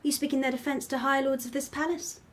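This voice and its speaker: An actress playing a tiny, cold, imperious young empress in a radio drama, haughty, formal and breathy. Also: female voice, sits high, little pitch movement, fast, close to an everyday voice. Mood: cold, accusing. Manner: haughty, clipped, royal formality.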